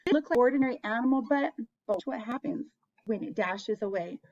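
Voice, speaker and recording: A woman's voice: fairly high pitch, expressive, a little fast, a little mellow, lo-fi sound. A woman hosting an interactive picture-book read-aloud for young children, asking them questions and encouraging them as she goes.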